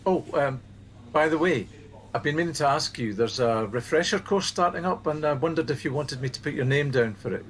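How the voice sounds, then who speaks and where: A man playing a firm, measured team supervisor. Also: on the high side, slight background noise, lightly acted. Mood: careful. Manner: measured, tactful, insistent. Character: earnest, firm male supervisor of a helpline team. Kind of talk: naturalistic radio play acting.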